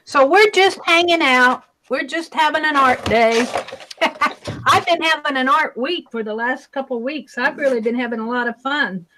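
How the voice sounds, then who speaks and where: Loud, chatty high-voiced woman. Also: moderate pace, a little hiss or hum, poor audio. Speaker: live stream co-host. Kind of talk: casual craft chat.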